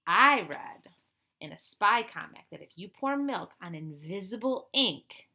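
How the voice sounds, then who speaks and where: A cheeky, laid-back boy from a children's mystery book, acted out by a woman reader. The voice is bright and lively in pitch. He talks in a breezy, casual way. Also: a little slow, heavily acted. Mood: casual, curious.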